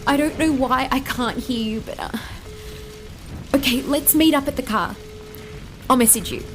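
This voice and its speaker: A woman's voice: high voice, moderate pace, faint music behind, natural voice. A young woman acting a distressed, urgent character in a disaster audio drama. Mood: worried. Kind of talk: acted audio drama dialogue.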